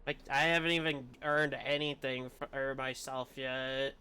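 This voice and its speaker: A man's voice: mid-range voice, fairly even intonation, unhurried, bright, forceful tone, clear, resonant tone. A man streaming games, talking casually and candidly in a hesitant, self-deprecating, confiding way. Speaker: game streamer. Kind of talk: casual candid livestream chat.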